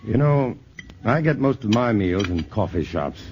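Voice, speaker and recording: A calm, deep-voiced scientist. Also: male voice, measured pace. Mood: easygoing. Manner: steady, reassuring. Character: level-headed male scientist, adult, calm and protective. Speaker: scientist hero in a radio play. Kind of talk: 1950s radio drama acting. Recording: muffled old radio broadcast with faint hiss.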